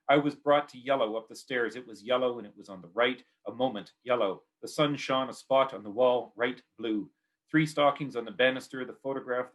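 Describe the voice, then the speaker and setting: A man with a Canadian accent giving a poetry reading of his own work in short, clipped, repeating phrases. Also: medium pitch.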